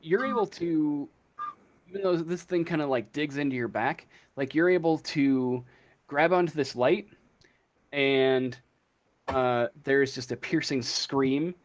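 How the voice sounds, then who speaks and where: Slow, halting man running a tabletop game. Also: a little high. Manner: halting, thinking aloud. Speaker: game master. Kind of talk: improvised tabletop game narration.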